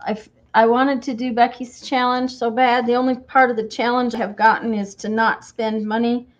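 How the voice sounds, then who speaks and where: A woman hosting a craft livestream, chatting casually and greeting viewers by name as she works, her voice slightly croaky from a cold. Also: on the high side, flat delivery, moderate pace, highs cut off. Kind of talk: casual chat while crafting.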